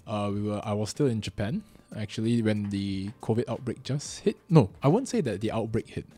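A man in his twenties with a Singaporean accent, hosting a podcast in casual chat, quick and chatty with many fillers. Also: lowish voice, expressive, dark tone, slightly rough. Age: 20s. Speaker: podcast host.

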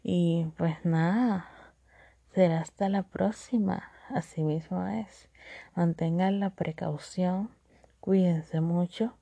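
A woman's voice: on the low side, slow pace, vocal fry, dark tone, no room sound. A woman with a Dominican accent hosting a solo podcast, chatty, laughing often and repeating catchphrases. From Dominican Republic. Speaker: podcast host.

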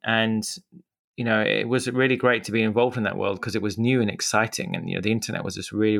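Low-voiced Zimbabwean man, reflective. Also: gravelly, a bit bright, dry recording, studio quality. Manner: reflective, rambling with many fillers and restarts. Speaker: podcast guest, media co-founder. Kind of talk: casual conversational interview.

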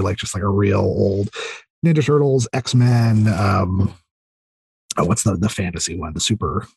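A man's voice: on the low side, darkish tone, breathy edge, no echo. A man chatting casually as a podcast guest, rambling and repeating words as he thinks aloud. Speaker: podcast guest, audio drama writer. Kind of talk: casual chat.

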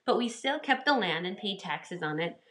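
A wistful old grandmother from a children's storybook, read by a woman. She speaks with folksy affection about family and home, and the voice is bright and clear-edged. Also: mid-range voice, expressive, moderate pace, natural voice. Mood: nostalgic.

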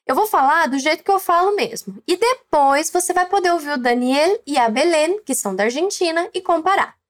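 Woman, Brazilian Portuguese accent, high-pitched, animated intonation, scripted lesson narration. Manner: slow, clear coaching with prompts to repeat. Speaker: language teacher.

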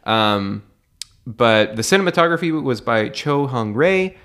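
A man's voice: mid-range voice, animated, unhurried, fairly bright tone, clean tone. A young man co-hosting a casual movie podcast, chatty and laughing often. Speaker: podcast co-host. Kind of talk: casual chat.

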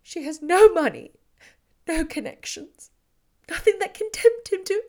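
A woman's voice: very high-pitched, animated, rapid-fire, fairly bright tone, pitched above the performer's own voice, exaggerated voice. A woman reading the part of a distraught young woman in an audiobook, agitated, pleading and close to tears. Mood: tearful.

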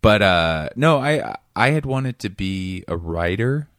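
A man from Seattle, a comedian guest in casual interview chat, talking in a rambling, self-deprecating way with a voice that is getting raspy. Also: lowish voice, expressive, slow pace, a bit bright, no echo, studio quality. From Seattle area, USA.

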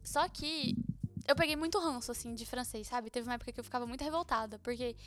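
A woman's voice: fairly high pitch, fast talker, a bit bright. A young Brazilian woman with a Brazilian Portuguese accent, chatting casually with slang and frequent laughs. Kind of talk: casual chat.

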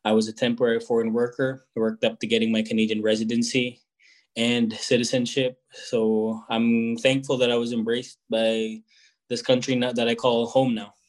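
A man's voice: medium pitch, flat delivery, normal speed. A young man, answering interview questions in a reflective, hesitant way, with many fillers and restarts. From Philippines. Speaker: guest musician.

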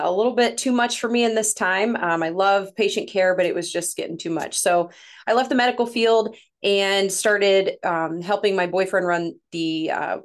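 A woman in her thirties with a Midwestern US accent, a podcast guest who talks in a chatty way, laughs often and gets lively when quoting others. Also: mid-range voice. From Missouri, USA. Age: 30s. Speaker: guest, women's coach. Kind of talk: casual podcast interview.

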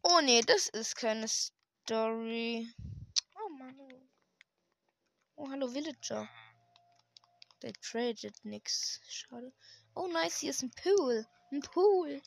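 A hoarse woman hosting a gaming channel, chatting casually and hesitantly while she plays, with lots of fillers and restarts. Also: sits high, expressive, takes it slowly, pauses often. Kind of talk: casual gameplay commentary. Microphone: desk microphone.

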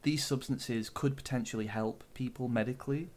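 A man's voice: mid-range voice, fairly even intonation, moderate pace, a little mellow. A man speaking as a podcast guest in casual chat, earnest and hesitant, repeating words.

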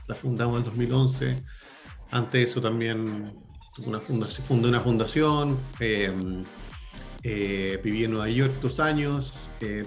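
A man's voice: mid-range voice, gravelly. A Chilean man in his thirties, a venture capital partner, speaking loosely in a casual interview with many fillers and restarts. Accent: Chilean Spanish.

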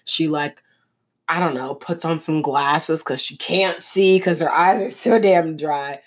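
A woman with a fairly low voice co-hosts a podcast. She chats loosely and jokingly, leaning on lots of fillers. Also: a little slow. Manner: animated, joking, frequent "like". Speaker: podcast co-host. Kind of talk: casual chat.

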